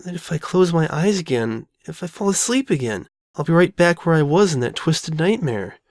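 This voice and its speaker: A woman's voice: deep voice, big pitch swings, moderate pace, a little mellow, no room sound, clean studio sound. Woman voicing an anxious, stammering young female pony. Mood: scared, weary. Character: frightened, guilt-ridden young female pony, self-doubting. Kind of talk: all-dialogue fan audio drama.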